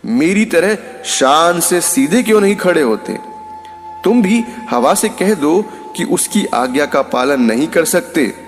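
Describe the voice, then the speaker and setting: A man's voice: a little high, expressive, measured pace, long reverb tail, soft background music, voice pushed up. A man voicing a proud, boastful jamun tree in a storybook moral tale, with a mocking, haughty manner. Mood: scornful, boastful.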